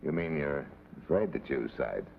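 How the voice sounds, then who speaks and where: Tough american agent, old tv drama, real low voice that moves around, clipped, tinny. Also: male voice, lively intonation, normal speed, gravelly, exaggerated voice. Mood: challenging, sardonic. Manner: clipped, pressing, sardonic. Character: blunt, hard-nosed American special agent. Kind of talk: 1950s television drama acting. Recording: tinny, hissy vintage TV soundtrack.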